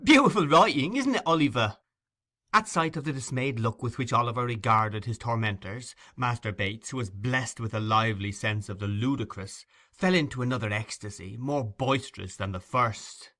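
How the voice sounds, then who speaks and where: A man's voice: medium pitch, normal speed, few pauses, sharp tone. A man narrating classic fiction from a script as an audiobook reader.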